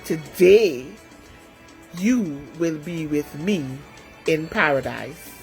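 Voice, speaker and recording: A woman storyteller playing a gentle, dying holy man, speaking in a solemn, measured way. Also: sits low, lively intonation, soft background music, pitched below the performer's own voice, full character voice, poor audio. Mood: gentle, assuring.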